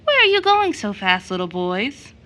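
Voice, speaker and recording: A woman's voice: mid-range voice, big pitch swings, moderate pace, bright, forceful tone. A woman storyteller voicing an unhappy, ragged old man who preaches sacrifice with fervent intensity.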